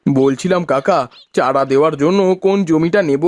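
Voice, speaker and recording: High-pitched, kindly cartoon farmer played by a man. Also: brisk, a little mellow, lightly acted. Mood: respectful. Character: Madan, kindly, eager village rice farmer and husband. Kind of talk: Bengali cartoon story acting.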